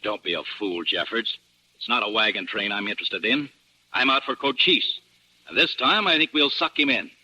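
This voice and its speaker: An actor playing a confident, scheming cavalry colonel in a radio Western, brisk and commanding. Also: male voice, lowish voice, sharp tone, breathy edge, exaggerated voice, rough recording. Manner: brisk, authoritative. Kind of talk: 1950s radio drama acting.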